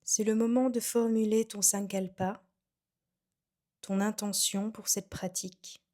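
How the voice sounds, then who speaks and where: A woman guiding a relaxation session as a yoga nidra instructor, soothing and unhurried, with phrases that trail off softly. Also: medium pitch, fairly even intonation. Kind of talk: guided meditation, calm instruction.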